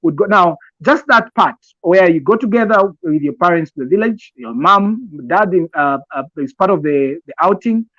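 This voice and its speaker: A man with a Zambian accent and a slightly high voice talks in a conversational, advisory way. He explains deliberately and haltingly, repeating phrases as he goes. The recording is dry and a little dull. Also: animated, fairly bright tone, no room sound. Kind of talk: conversational advice talk with personal examples.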